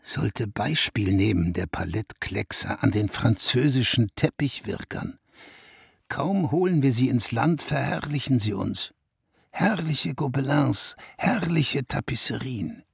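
An actor playing a proud, brooding, weary seventeenth-century ruler in a radio play, pompous in manner, with a gravelly, husky voice. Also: male voice, medium pitch, animated, no room sound, clean studio sound, heavily acted. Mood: scornful. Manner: pompous, slow, speaks in royal we. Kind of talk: German radio play monologue.

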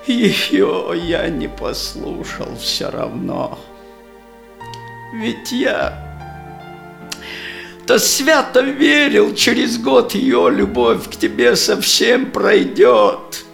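A man acting a proud, remorseful old merchant father in a verse audio drama, with a creaky voice and theatrical delivery. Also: high-pitched, wildly swooping pitch, a bit bright, faint music behind, full character voice. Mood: anguished, lamenting. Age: older. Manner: slow, theatrical, lamenting rhymed delivery.

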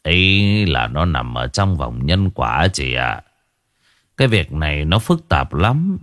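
A man voicing a calm, wise old village man who speaks slowly and gently. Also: rumbling low, no room sound, studio quality, pitched below the performer's own voice, exaggerated voice. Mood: gentle consoling. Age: older. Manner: slow, gentle and measured.

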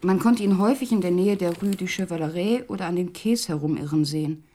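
A woman playing a sober narrator in a radio crime drama. Her voice sits a little low for a woman, and she tells it in a measured, literary manner. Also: dark tone, slight background noise, natural voice. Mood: sober, reflective. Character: sober female narrator recounting an old police case. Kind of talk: scripted narration in a German radio crime play.

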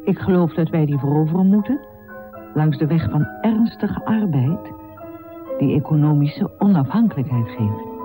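An actress playing an earnest, determined nineteenth-century woman doctor and pioneering feminist in a radio play, speaking formally and deliberately. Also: low voice, expressive, over music.

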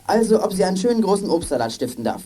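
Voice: medium pitch, very animated, rapid-fire, dark tone, slight background noise, natural voice. A performer playing a cheeky, joking teenage boy in a children's radio play, playfully wordy. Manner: playfully wordy, rattling off lists.

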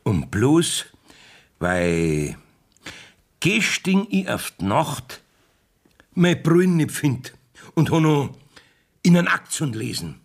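A sly Bavarian country judge from a radio play, gravelly and husky. He talks slowly and swoops up and down, rambling and blustering in a folksy, evasive way. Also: on the high side, big pitch swings, exaggerated voice. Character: sly, evasive, beer-loving village judge, middle-aged man. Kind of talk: dialect radio play acting. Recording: 1970s radio drama studio recording.

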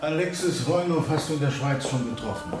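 An actor playing the resolute leader of a revolutionary cell, speaking in a measured, firm way in a German radio drama. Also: male voice, on the high side, music in the background, close to an everyday voice, rough recording.

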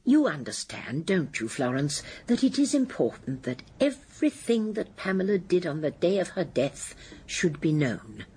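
Husky old english village lady detective, radio drama, lowish voice, very expressive, precise n polite but firm. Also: normal speed, full character voice. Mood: gently firm. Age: older. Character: shrewd, genteel elderly English village spinster sleuth. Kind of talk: radio drama acting and narration.

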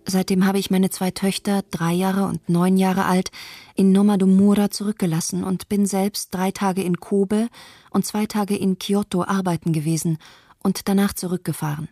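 A woman playing a thoughtful, guilt-troubled mother in a measured first-person narration for a radio play. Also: mid-range voice, flat delivery, warm tone, no echo, clean studio sound, natural voice. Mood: reflective, admiring. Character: thoughtful Tokyo mother who fled with her daughters, troubled by guilt.

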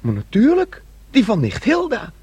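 Highish, childlike, dreamy young man. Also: wildly swooping pitch, normal speed, darkish tone, breathy edge, no echo, highs cut off, full character voice. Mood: stubborn, boyish. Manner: naive, insistent, musing. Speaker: actor in a radio play. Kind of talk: Dutch radio drama acting.

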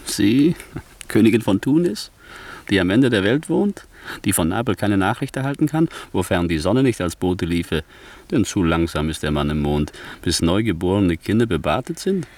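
A man's voice: low-pitched, lively intonation, moderate pace, darkish tone, no room sound. An actor playing a scheming, ambitious nobleman in a German radio verse drama, speaking in a theatrical, insinuating, rhetorical manner. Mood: mocking, insinuating. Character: scheming ambitious nobleman, smooth-tongued, ruthless tempter.

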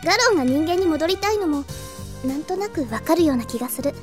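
A woman's voice: high-pitched, animated, fast talker, music in the background. A woman voicing a kind, naive young catgirl in a Japanese anime-style audio drama, with a cutesy girlish voice. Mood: wistful. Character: cheerful, kind young catgirl of the dark clan.

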